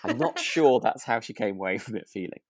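A man's voice: lowish voice, expressive, a little fast, no echo, clean studio sound. A man with a British accent chatting casually as a podcast co-host, agreeable and a little rambling. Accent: British English. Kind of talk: casual chat about a radio drama.

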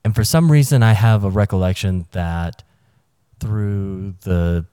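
Low warm-voiced guy on a podcast, kinda hesitant, lots of uhs. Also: smooth, no echo, studio quality. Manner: measured, hesitant, many uhs. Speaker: podcast co-host. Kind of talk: casual podcast chat.

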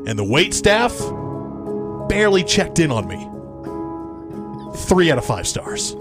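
A man's voice: on the high side, big pitch swings, unhurried. A man hosting a morning radio roundtable, chatting casually and reading reviews dramatically. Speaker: morning show host leading a roundtable. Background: music.

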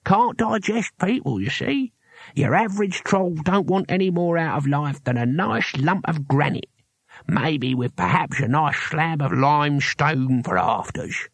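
A man voicing a gruff old barbarian adventurer in a storybook, with a husky, slurred, toothless mumble and blunt colloquial talk. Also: fairly high pitch, expressive, measured pace, fairly bright tone, dry recording, exaggerated voice. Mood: explaining, fumbling.

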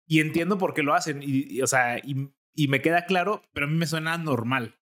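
A man's voice: fairly high pitch, brisk, a bit bright. A young adult man with a Jalisco accent, chatting casually as podcast co-host and thinking aloud with many fillers. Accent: Mexican, from Jalisco. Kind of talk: casual chat.

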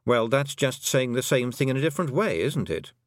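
A man voicing a detached, pedantic talking toad with a deadpan manner in an audiobook. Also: mid-range voice, a little fast, no room sound, studio quality, close to an everyday voice. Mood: matter-of-fact.

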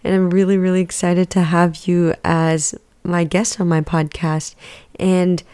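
A woman's voice: on the low side, flat delivery, measured pace, warm tone, clean tone, no echo. A woman hosting a podcast, reading a guest introduction and then eagerly asking questions. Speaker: podcast host.

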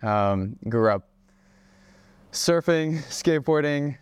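A young man raised in Hawaii, a guest on a casual podcast interview, talking in a relaxed way with plenty of fillers and restarts. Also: fairly high pitch, takes it slowly, clean tone, no echo. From Czech Republic, raised in Hawaii. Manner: relaxed, many fillers and restarts, laughs often. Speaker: guest, travel creator.

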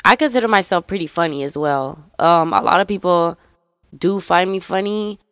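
A woman's voice: fairly low pitch, normal speed, fairly bright tone, clear, resonant tone, dry recording, clean studio sound. A young woman from Memphis with a faded Southern accent, chatting casually and rambling as she introduces her solo podcast. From Memphis, Tennessee, USA. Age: young adult. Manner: chatty, rambling, addresses listeners as y'all. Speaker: podcast host.

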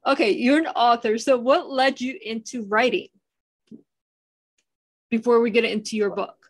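Host: woman, slightly high voice, chatty and laughing easily. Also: steady tone, normal speed. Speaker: podcast host. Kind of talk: casual interview chat.

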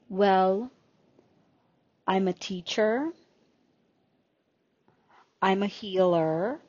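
A woman in her forties from Jamaica with a slight Jamaican accent, hosting a podcast in an unhurried, drawn-out monologue. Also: medium pitch, darkish tone, no room sound. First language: Jamaican Patois. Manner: slow, unhurried, drawing out words in lists. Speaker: podcast host and spiritual healer. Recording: dull lo-fi recording.

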